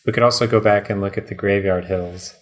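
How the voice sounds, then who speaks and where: A man's voice: lowish voice, steady tone, a little fast. A man co-hosting a podcast in casual chat, musing aloud and joking as he plays along. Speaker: podcast co-host playing along with the story.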